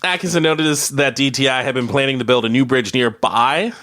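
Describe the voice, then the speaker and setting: A man's voice: medium pitch, brisk, fairly bright tone. A man co-hosting a community radio show, reading local news in an informal, playful way with conversational asides. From Canada.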